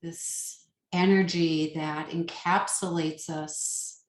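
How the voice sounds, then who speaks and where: A woman leading a guided meditation as a teacher, soothing and unhurried, pausing between short phrases. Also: low voice, steady tone. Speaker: yoga nidra meditation teacher. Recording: roomy, echoing sound.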